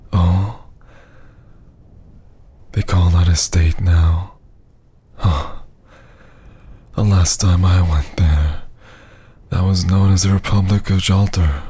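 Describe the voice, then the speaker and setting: An ancient, menacing vampire lord, acted by a man in an ASMR roleplay. The voice is low and very husky, sinking toward a whisper. Every phrase is drawn out extremely slowly, haughty and seductively taunting. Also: mellow, full character voice. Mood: haughty, suspicious. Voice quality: breathy, husky near-whisper. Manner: slow, taunting, theatrical.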